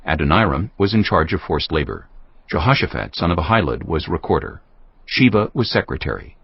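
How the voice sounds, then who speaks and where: Low voiced guy narrating scripture, lively intonation, slightly muffled, music underneath. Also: a little fast, gravelly, husky. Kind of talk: scripted dramatized Bible narration. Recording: dull band-limited audio with music bed.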